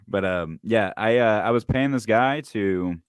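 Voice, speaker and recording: A man's voice: lowish voice, slow, clean tone, dry recording, studio quality. A man in his twenties co-hosting a podcast, chatting casually and laughing often, swearing freely. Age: 20s. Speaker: podcast co-host, musician. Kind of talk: casual chat.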